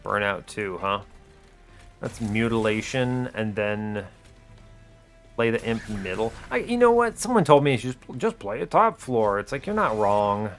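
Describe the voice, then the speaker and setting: A man's voice: fairly low pitch, sing-song, measured pace, a bit bright, soft background music. A man giving casual video game commentary, thinking aloud and questioning himself as he talks through each decision. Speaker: gaming video host.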